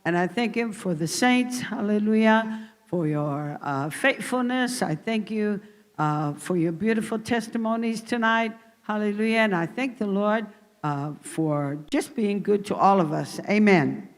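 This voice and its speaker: A woman's voice: mid-range voice, a little slow. A woman giving a fervent church testimony made of repeated thanksgivings. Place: church hall. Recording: long reverb of a church hall.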